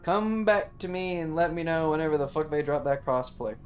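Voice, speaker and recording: A man's voice: fairly high pitch, lively intonation, brisk. Sweary male streamer chatting over game music. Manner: sweary, rambling. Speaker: live streamer. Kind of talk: casual gaming stream chat.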